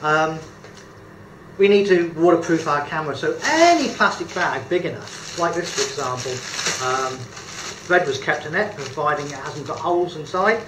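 A man's voice: on the high side, animated, normal speed, noisy background. Chatty British man in an echoey room. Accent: British English. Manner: chatty, hesitant with many fillers, good-humoured. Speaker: photography tutor. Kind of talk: casual demonstration tutorial. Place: indoor room at a table. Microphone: camera mic a few feet away. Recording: echoey room with faint background ambience.

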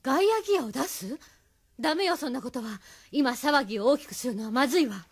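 A woman playing an urgent, resolute resistance member in an audio drama, brisk and firm. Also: high-pitched, animated, slightly rough, no echo, clean studio sound. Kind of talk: Japanese audio drama acting.

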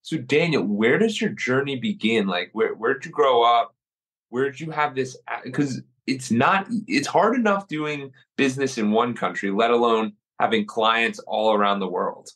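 A man hosting a podcast interview, upbeat and asking quick follow-up questions. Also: medium pitch, a bit bright, husky. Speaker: podcast host.

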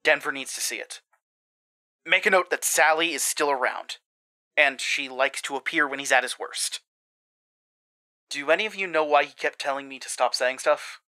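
An actor playing a driven, stern male investigator in an audio drama, with a measured, pressing delivery. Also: on the high side, bright, forceful tone. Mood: grim, resolute.

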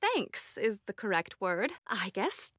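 A woman's voice: mid-range voice, very animated, slow, fairly bright tone, dry recording, studio quality, full character voice. An actress playing a haughty, eccentric, jaded woman scientist in a video game, socially awkward, with a curt, formal, condescending manner. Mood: clipped, aloof. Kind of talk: video game character voice lines.

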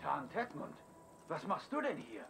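A highish-voiced gruff Saxon soldier. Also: male voice, huge pitch swings, a little slow, over music, exaggerated voice. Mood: wary, deferential. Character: gruff Saxon soldier captain, deferential to his lord. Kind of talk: dramatic video game dialogue.

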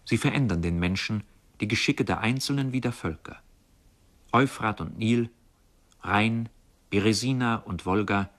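A man reading literary radio narration in a measured, solemn manner. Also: on the low side, a bit bright.

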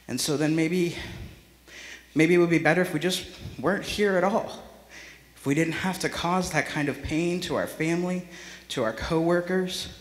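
A man in his thirties giving an earnest, reflective memorial speech into a microphone in a church. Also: on the high side. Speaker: memorial speaker. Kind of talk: heartfelt public memorial speech. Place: large church, speaking to a gathering. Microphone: handheld or stand microphone close to mouth. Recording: echoey church hall reverb.

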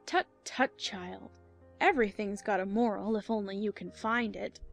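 A woman's voice: medium pitch, moderate pace, creaky, soft background music. A woman playing a gushing, moralizing Duchess with an affected manner in a dramatized audiobook.

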